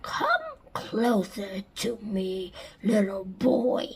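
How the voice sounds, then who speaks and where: A woman voicing a small, mysterious old man who speaks slowly in a creaky whisper. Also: mid-range voice, fairly even intonation, a little mellow, pitched below the performer's own voice, full character voice. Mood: beckoning.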